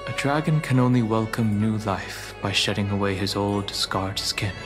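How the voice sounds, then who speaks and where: A man voicing a stoic young dragon warrior in a video game, earnest and resolute, with a measured, grave delivery. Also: lowish voice, over music, lightly acted. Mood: grateful, calm. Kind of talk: dramatic video game dialogue.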